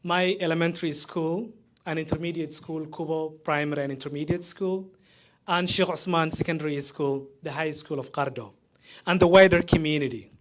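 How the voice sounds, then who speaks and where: A man in his thirties from Somalia, speaking with a Somali accent as he gives a prepared, heartfelt graduation speech, pausing between phrases. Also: fairly high pitch, steady tone. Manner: measured, heartfelt delivery with pauses between phrases.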